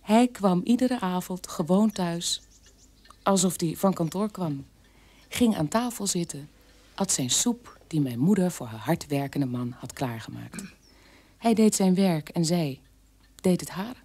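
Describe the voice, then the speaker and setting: An actress playing a camp worker's sombre daughter, recalling gravely and with measure in a radio drama monologue. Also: female voice, lowish voice, expressive, a little slow, mellow.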